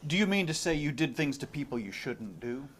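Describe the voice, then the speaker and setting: Stern, sarcastic male congressman played by a man for a staged hearing reading, pitched a little high. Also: big pitch swings, a little fast, fairly bright tone. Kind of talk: dramatic reading of a hearing transcript.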